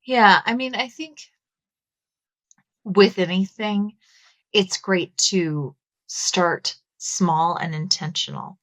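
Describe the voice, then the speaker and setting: A woman's voice: medium pitch, slow pace, long pauses, no room sound. A woman in her thirties with a Texas accent, a podcast guest explaining her views thoughtfully with some filler words. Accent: Texan. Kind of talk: conversational interview.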